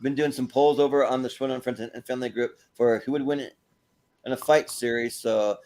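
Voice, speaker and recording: A man hosting a movie panel show, chatting casually in a halting way and repeating words as they go. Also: mid-range voice, steady tone, a little mellow. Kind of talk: casual panel chat and sign-off plugs.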